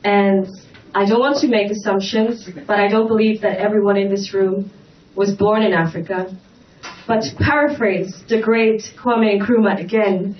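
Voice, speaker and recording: A woman moderating a conference panel, gracious as she wraps up. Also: medium pitch. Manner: gracious, wrapping up. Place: conference hall. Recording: echoey, muffled, distant mic with faint noise.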